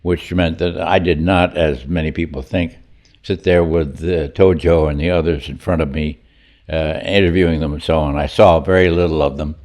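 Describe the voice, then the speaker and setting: Low-voiced older man recounting stories. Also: steady tone, moderate pace, a little mellow. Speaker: chorus member. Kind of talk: documentary interview.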